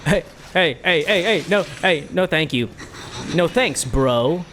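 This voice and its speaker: Excitable, animated game streamer. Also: medium pitch, slow pace, a little hiss or hum. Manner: excitable, repeats words and phrases. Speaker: streamer playing a game for a live chat. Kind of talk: casual livestream gaming commentary with reactions.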